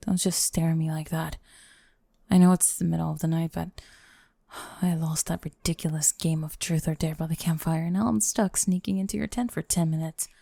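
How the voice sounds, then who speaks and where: A woman's voice: low-pitched, fairly even intonation, normal speed, mellow, dry recording. A young woman playing a sardonic goth bully girl in an intimate ASMR roleplay, grumbling and teasing the listener up close. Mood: grudging annoyance. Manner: teasing, grumbling, sighing, dropping into breathy murmurs. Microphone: close microphone, dry.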